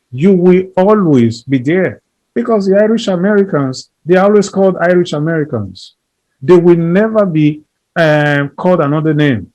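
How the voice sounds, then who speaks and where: A man's voice: high-pitched, darkish tone, low-quality recording. Earnest man speaking in repeated lists. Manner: emphatic, repeats phrases, builds lists. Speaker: interview guest. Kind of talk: earnest conversational advocacy.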